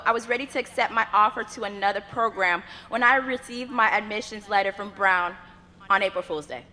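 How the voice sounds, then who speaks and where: A young woman whose first language is Yoruba, giving an earnest commencement address at a podium microphone with measured, rhythmic phrasing. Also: fairly high pitch, sharp tone. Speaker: graduate student speaker. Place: graduation ceremony venue. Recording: long reverb of a large ceremony space.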